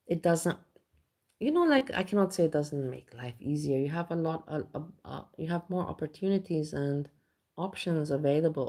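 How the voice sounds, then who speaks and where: Low-voiced Austrian woman, rambling candid vlog chat. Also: mellow. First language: German. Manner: rambling, candid, swears casually. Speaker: vlogger. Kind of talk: casual chat.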